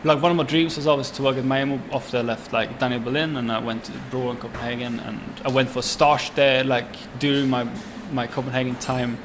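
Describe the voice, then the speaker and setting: A man in his twenties with a Swedish accent, chatting in an interview as a chef, halting with many fillers and restarts. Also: mid-range voice, fairly even intonation, noisy background. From Sweden. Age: 20s. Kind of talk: casual interview.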